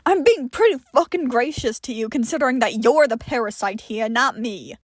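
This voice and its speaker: A woman's voice: on the high side, lively intonation, moderate pace, no room sound, clean studio sound. A woman voicing a brash, short-tempered immortal man with a blunt, sarcastic manner in an audio story. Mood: irritated.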